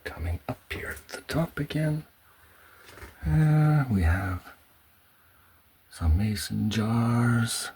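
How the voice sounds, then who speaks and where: A man giving a soft-spoken ASMR home tour in a hushed, halting way, often slipping into a whisper. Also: medium pitch, slight background noise. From Canada. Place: indoors at home, in a pantry.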